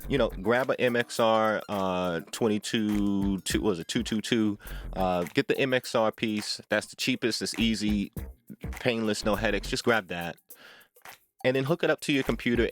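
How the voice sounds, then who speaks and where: Animated low-pitched man giving a music tutorial. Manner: emphatic, deliberate phrasing with rhetorical tag questions. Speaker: music tutorial host, vocalist and producer. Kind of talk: informal instructional talk to camera.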